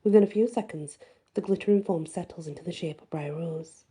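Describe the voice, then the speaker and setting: A woman's voice: fairly low pitch, fairly quick, warm tone, breathy edge. A woman storyteller reading scripted first-person fantasy narration in an ASMR style.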